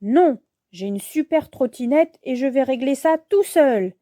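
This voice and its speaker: A woman voicing a boastful, excitable little boy cat superhero in a children's storybook. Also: high-pitched, unhurried, dry recording, clean studio sound. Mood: cocky.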